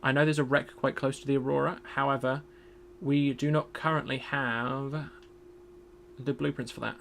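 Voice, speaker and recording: Gravelly man streaming a game. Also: medium pitch, little pitch movement, moderate pace, slight background noise. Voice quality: loud voice. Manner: chatty, self-deprecating, thinks aloud. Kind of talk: casual gameplay commentary.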